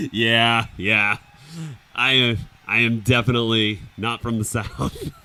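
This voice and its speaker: A man's voice: mid-range voice, slow pace, pushed and bright. A man with an upstate New York accent, co-hosting a live stream and giving casual play-by-play commentary on a football game. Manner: easygoing, chatty, with filler words.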